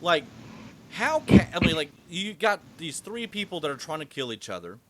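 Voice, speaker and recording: A man hosting a casual podcast chat, animated and swearing casually as he talks. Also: a little high, normal speed, a little hiss or hum, rough recording. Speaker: podcast host.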